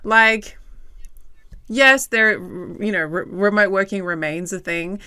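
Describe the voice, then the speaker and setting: A woman's voice: medium pitch, takes it slowly, sharp tone, clean tone, no echo, studio quality. A woman hosting a podcast interview, friendly and chatty as she introduces her guest and asks questions. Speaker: podcast host.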